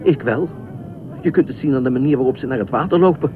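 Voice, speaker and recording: An actor in a Dutch radio play as an anxious, obsessive widowed ex-fireman who speaks haltingly, repeats himself and nitpicks. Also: lightly acted. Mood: agitated, anxious. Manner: halting, repetitive, pedantic, fretful. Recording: muffled narrow-band old radio recording, faint rumble.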